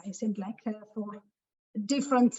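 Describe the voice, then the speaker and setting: Muffled high-voiced woman on a call. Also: a little mellow, slightly rough. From Ethiopia. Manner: halting, many filler sounds. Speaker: webinar speaker. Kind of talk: presentation on a video call. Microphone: video call.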